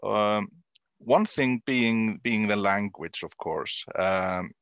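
Low-voiced Icelandic man with halting delivery. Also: a bit bright, clean tone, dry recording, dull audio. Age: 50s. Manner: halting, often repeating words. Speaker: interview guest, university dean. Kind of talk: conversational radio discussion.

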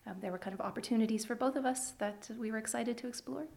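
Creaky-voiced Canadian woman, thoughtful. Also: fairly high pitch, fast talker, a little mellow. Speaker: musician guest. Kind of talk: casual radio interview.

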